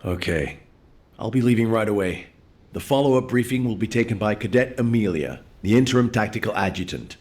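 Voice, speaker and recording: An actor playing a serious, weary executive officer in a video game, speaking with terse authority. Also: male voice, on the low side, expressive, fairly quick, a little mellow, lightly acted. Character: grave, weary military executive officer under pressure. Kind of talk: video game dramatic acting.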